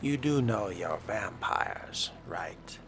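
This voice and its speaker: Man playing a slow, gravelly vampire fledgling. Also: lowish voice, animated, background noise. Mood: questioning. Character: wry, curious newly made male vampire fledgling. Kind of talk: role-play game dialogue.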